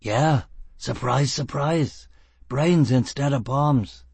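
This is a blunt, stubborn man who pushes ahead through the dark and grunts out his commands, as a male narrator acts him out. The voice is husky and sits close to the narrator's own middle pitch. It is read in a dry, close recording. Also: slow, dry recording, heavily acted. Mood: terse. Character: gruff, blunt, stubborn man escaping a prison.

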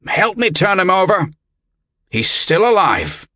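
Audiobook role: man voicing brisk, irritable monk, dry and commanding, close dry recording. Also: deep voice, big pitch swings, a bit bright, dry recording, heavily acted. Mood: urgent, commanding. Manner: dry, curt. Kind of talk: audiobook character voice.

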